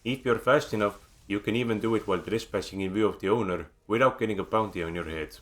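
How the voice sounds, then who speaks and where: A man with an Estonian accent narrating a scripted video game guide in a measured, dryly wry manner, recorded as a close voice-over. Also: on the low side, a bit bright.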